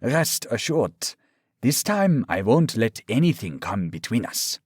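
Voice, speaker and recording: A man voicing a menacing male doctor villain who speaks with smug calm, coaxing and mock-tender. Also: mid-range voice, takes it slowly, breathy edge, no room sound, studio quality, full character voice.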